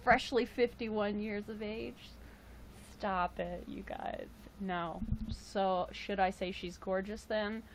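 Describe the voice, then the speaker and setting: A woman's voice: steady tone, slow pace, background noise. A woman in her late twenties hosting a casual livestream, chatting and joking with viewers. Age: 20s. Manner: chatty, jokey, with playful high exclamations. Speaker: livestream host. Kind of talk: casual livestream chat reading viewer comments.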